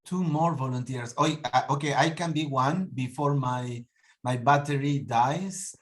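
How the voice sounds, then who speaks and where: A man's voice: mid-range voice, unhurried. A man from Peru hosting an online meeting, friendly and joking, often repeating his words. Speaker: meeting host. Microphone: video call.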